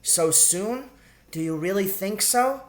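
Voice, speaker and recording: A man voicing a gentle, worried mother mouse in a storybook, speaking politely and anxiously. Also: high voice, lively intonation, slow, voice pushed up. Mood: polite concern. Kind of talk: storybook character voice in an audiobook reading.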